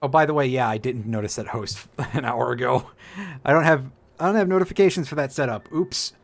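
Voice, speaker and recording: A man's voice: mid-range voice, fairly quick, creaky. A man giving casual, wry, self-deprecating live commentary as he streams a video game. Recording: game music behind the voice. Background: video game music and effects.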